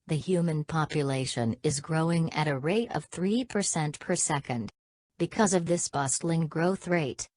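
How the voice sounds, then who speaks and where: A woman's voice: deep voice, a little mellow, no echo. A woman reading a scripted passage aloud as exam practice.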